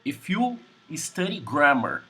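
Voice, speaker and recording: A Brazilian man with a Portuguese accent teaching English on a video call, speaking in slow, simple sentences and repeating words. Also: on the high side, sing-song, sharp tone, slightly rough. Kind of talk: online English class conversation.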